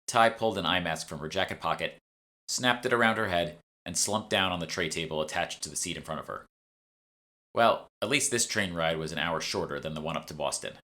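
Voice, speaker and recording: A man's voice: low voice, a little fast, bright, forceful tone. A man reading a first-person audiobook narration from a script. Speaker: author reading own audiobook.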